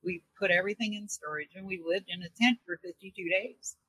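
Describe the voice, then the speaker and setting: Bright, animated woman in conversation. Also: medium pitch, a little fast, breathy edge, dry recording, rough recording. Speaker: radio show co-host as guest. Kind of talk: conversational storytelling.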